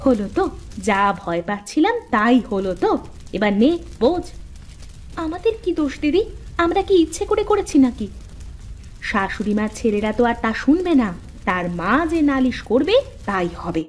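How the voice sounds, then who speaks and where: A woman playing a put-upon young daughter-in-law in a cartoon, expressive and sharp-tongued, prone to sarcastic complaint. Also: on the high side, moderate pace, pauses often. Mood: worried, resentful. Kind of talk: cartoon voice acting, fairy-tale drama.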